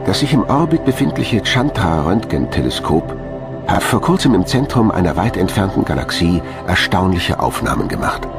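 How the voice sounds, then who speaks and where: A man's voice: low-pitched, sing-song, normal speed, creaky, over music. A man reading scripted narration as the narrator of a documentary.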